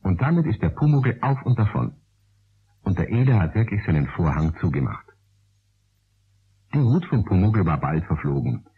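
An actor as the storyteller of a children's radio play, narrating. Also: male voice, sits low, very animated, moderate pace, long pauses, husky, heavily acted. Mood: storytelling. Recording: phone-like, narrow old radio recording.

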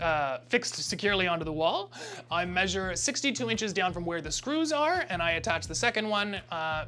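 A man's voice: high voice, sharp tone. A man in his thirties giving a step-by-step installation tutorial in a small indoor room, with frequent "uh" fillers. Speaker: tutorial presenter. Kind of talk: instructional how-to narration.

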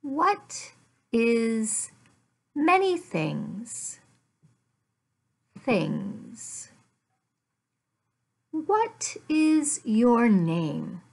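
An American woman in her fifties teaching beginner English, speaking deliberately in short, simple, repeated phrases with pauses, recorded at home on a laptop microphone. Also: fairly high pitch, big pitch swings, vocal fry. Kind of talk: beginner language lesson, addressed to learners. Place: small furnished living room at home.

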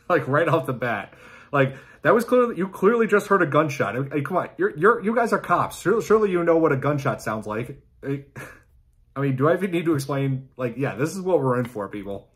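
A man in his thirties hosting a casual reaction video in an amused, halting way, often laughing as he talks. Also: on the high side, sing-song, breathy edge. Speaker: YouTube host reacting to video game clips. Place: small indoor room at home.

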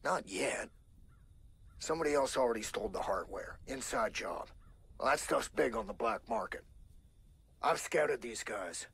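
An actor playing a cocky, cranky middle-aged American hacker in a video game, with a folksy, sardonic drawl. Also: male voice, medium pitch, lively intonation, moderate pace, vocal fry, pauses often, fairly bright tone, breathy edge, full character voice. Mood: wry. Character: cranky, cocky older male hacker turned junkyard sculptor.

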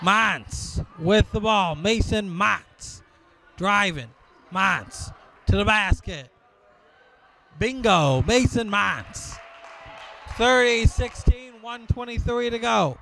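A man doing live play-by-play of a school basketball game, calling plays in slow clipped bursts with occasional shouts. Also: high-pitched, vocal fry, slight background noise. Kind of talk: live sports commentary. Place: school gym with crowd. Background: crowd and bouncing ball.

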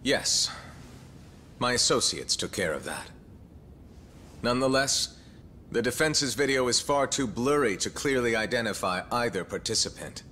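A man's voice: mid-range voice, expressive, moderate pace, pauses often, sharp tone, slight background noise. A man playing an abrasive, hot-tempered prosecutor in a video game, speaking in a cutting, formal, pointed way. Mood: formal, defensive. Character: abrasive, accusatory male prosecutor, hot-tempered and self-righteous. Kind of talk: English-dub video game cutscene acting.

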